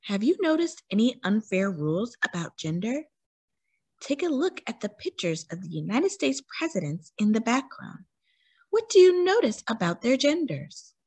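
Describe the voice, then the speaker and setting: A woman with a husky voice reading a children's picture book aloud expressively, putting questions to young listeners. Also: a little high, sing-song, normal speed, darkish tone, no echo. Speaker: read-aloud storyteller for children.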